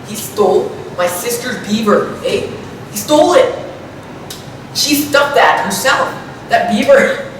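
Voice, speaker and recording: A man's voice: sits high, expressive, slow, pauses often, a bit bright, full character voice. A man playing a silly, earnest Canadian backwoodsman with a Canadian accent, in improvised comic banter full of questioning tags. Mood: mock upset. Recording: very echoey, distant, some background noise.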